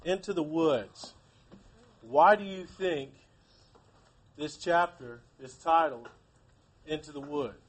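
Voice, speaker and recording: Man, school teacher, slightly high-pitched voice, interactive classroom read-aloud, questioning and prompting delivery. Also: slow, long pauses.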